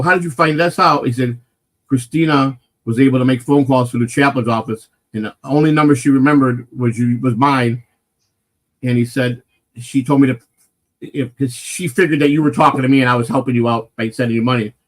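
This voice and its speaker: A man with a naturally loud, mid-pitched voice tells a long story on a casual morning stream. He rambles, swears freely, and keeps restarting his sentences. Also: steady tone, a little fast. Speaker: morning show host telling a personal story.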